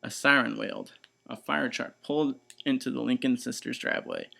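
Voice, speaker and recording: A man reading a children's story aloud in expressive, scripted narration. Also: mid-range voice, normal speed, vocal fry.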